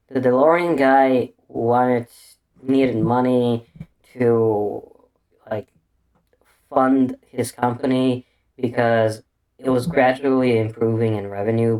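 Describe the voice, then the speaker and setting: A man with an unusual, hard-to-place accent delivers a meandering solo commentary. He talks slowly, swears freely and keeps restarting his sentences. His pitch sits in an ordinary middle range. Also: a little mellow, poor audio. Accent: unusual non-native English accent. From Bulgaria. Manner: meandering, crude, full of restarts and fillers. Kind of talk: casual rambling commentary monologue.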